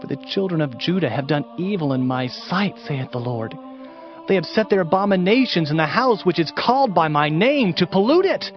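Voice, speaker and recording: Male narrator for dramatized scripture: fairly high voice, solemn declamation, faint music underneath. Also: lively intonation, moderate pace. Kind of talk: dramatized Bible reading. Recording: slightly muffled, music underneath.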